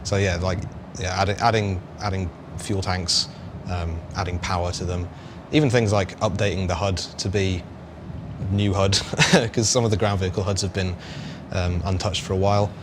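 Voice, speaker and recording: A man chatting casually as a developer on a panel, haltingly repeating words as he explains. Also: low-pitched, steady tone, background noise. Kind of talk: casual chat.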